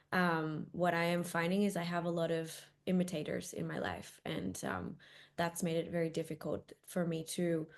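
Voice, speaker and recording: A woman from Australia co-hosting a reflective chat, talking slowly with many pauses and restarts. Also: lowish voice. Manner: hesitant, many ums and restarts. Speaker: podcast co-host.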